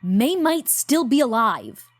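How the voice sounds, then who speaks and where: A woman's voice: fairly high pitch, big pitch swings, takes it slowly, exaggerated voice. An actress playing Maddie, a driven, blunt young woman in a horror audio drama. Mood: pleading. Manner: blunt, determined. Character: stubborn, driven young woman chasing the truth. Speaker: voice actor.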